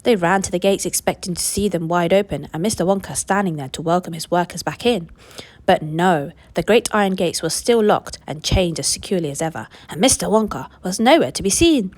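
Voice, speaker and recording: A woman teacher reading a children's book aloud in a clear, expressive storytelling way. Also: on the low side, a little fast.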